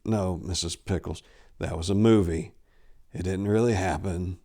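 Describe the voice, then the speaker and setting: A man's voice: low voice, fairly even intonation, dark tone. A man as a tipsy, weary young priest. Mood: weary placating. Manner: halting, weary politeness. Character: drunk, irritated young Irish priest placating callers.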